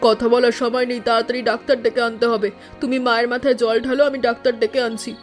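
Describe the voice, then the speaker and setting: A woman voicing an earnest, devoted village woman in an animated family story, speaking emphatically. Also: a little high, fast, soft background music, natural voice. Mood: anxious, caring.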